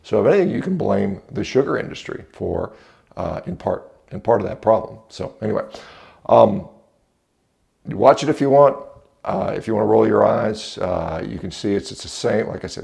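Deep, very gravelly American man. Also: dark tone. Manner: blunt, dismissive, conversational with hedging asides. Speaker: video reviewer and commentator. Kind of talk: unscripted opinion commentary to camera. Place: indoors, home entrance hall. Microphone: small clip-on lapel mic.

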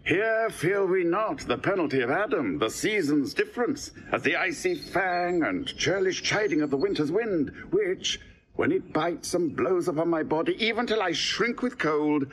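An actor playing a banished duke speaking to his companions in the woods, reflective and measured, in classical stage style. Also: on the high side, lively intonation, a bit bright, slight background noise. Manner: reflective, measured speech with slowed phrases. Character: banished older duke, philosophical and content. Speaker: exiled duke.

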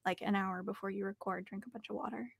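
A young woman explains things casually as an interview guest. Her voice sits on the low side with noticeable vocal fry. The sound is close and dry, as from a home recording booth. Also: steady tone, quick pace, dry recording. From Canada. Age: young adult. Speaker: guest, professional audiobook narrator and voice actor. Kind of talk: interview answers, casual advice. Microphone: studio microphone on a boom arm.

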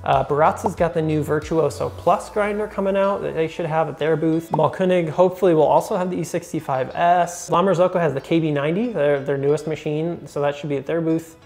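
A young man hosting a casual talk to camera, enthusiastic and chatty with many fillers, in a bright indoor room. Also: on the high side, fairly even intonation, brisk, creaky, few pauses, soft background music. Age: young adult. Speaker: host.

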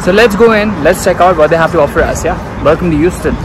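A man's voice: a little high, fast, low-quality recording. A man from India hosting a casual food vlog in a busy restaurant, chatting loosely with fillers as he shows each dish. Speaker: food vlogger. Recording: loud music and crowd noise. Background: loud music and crowd chatter.

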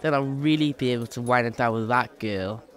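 An actor playing a cheeky, boastful young chimney sweep in an audio drama. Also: male voice, medium pitch, a little fast, a faint crowd, lightly acted.

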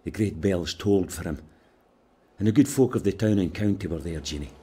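An actor with a Scottish accent playing a sorrowful, consoling brother-in-law in a radio drama, gentle and solemn. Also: male voice, deep voice, a little fast, husky.

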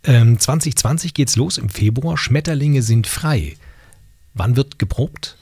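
A middle-aged man hosting a conversational radio interview, speaking with a husky voice into a close studio microphone. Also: mid-range voice, expressive, a little slow, dark tone, dry recording. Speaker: radio host. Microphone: close studio microphone on boom arm.

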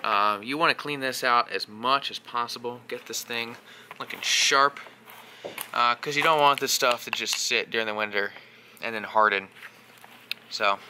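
A young man giving a casual how-to vlog while working in a workshop garage, relaxed and full of uh and um. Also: mid-range voice, moderate pace, noisy background. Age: young adult. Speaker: vlogger doing equipment maintenance. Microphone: action camera close up.

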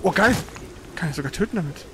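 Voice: medium pitch, lively intonation, quick pace, background noise. A player in a casual let's play, teasing and bossing a partner around with blunt, slangy exclamations. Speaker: gaming video host, co-op player.

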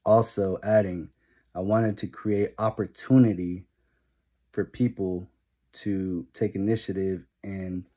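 A young man speaks in a fairly low voice, laying out practical advice point by point in an earnest, plain way. He sounds close to a phone mic in a small room at home. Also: dark tone. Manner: earnest, straightforward advice, list-like delivery. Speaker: barber and barbershop owner. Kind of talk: talking-head advice vlog. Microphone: phone camera held close.

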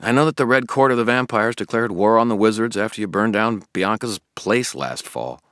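A man's voice: on the low side, a little fast, a bit bright, no echo, clean studio sound, voice pushed up, lightly acted. A man voicing an earnest young man who pesters and pleads with his friend in a storybook. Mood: concerned. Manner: insistent, pleading.

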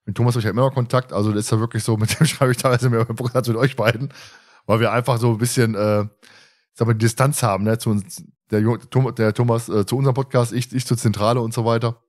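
A man's voice: mid-range voice, fairly even intonation, fast talker, darkish tone. A man hosting a podcast in casual, chatty conversation with a teasing manner. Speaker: podcast host.

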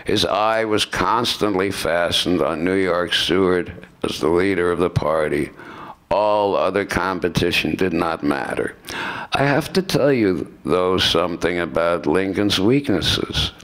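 A man in his seventies reading scripted narration aloud in a deliberate way, stumbling now and then, at a microphone in a lecture room. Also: sits low, a bit bright. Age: 70s. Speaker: narrator in a staged radio play by retired students. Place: lecture room with seated audience. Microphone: microphone on stand at lectern.

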